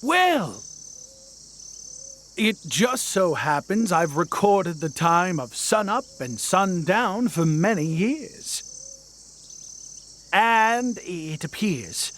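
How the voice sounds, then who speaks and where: An actor playing an excitable, earnest, fussy astronomer in a video game, with theatrical, flowery and precise speech. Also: male voice, sits high, very slow, long pauses, slight background noise, heavily acted. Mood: bewildered. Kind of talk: dramatic video game voice acting.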